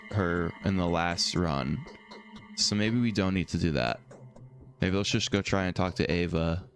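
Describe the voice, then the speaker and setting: Brief: low-voiced male streamer, slightly hoarse, rambling let's-play chatter, faint music underneath. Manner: rambling, thinks out loud. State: slightly hoarse from a throat infection. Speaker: streamer playing a video game. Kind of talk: casual let's-play commentary, reading dialogue aloud.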